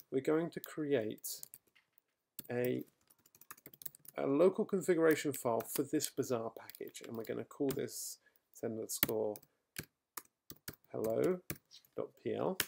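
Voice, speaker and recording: A middle-aged man recorded at home, talking through a software tutorial as he types, with fillers and quick self-corrections. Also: medium pitch, lively intonation, creaky. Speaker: software trainer. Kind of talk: screencast coding tutorial. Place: quiet home room.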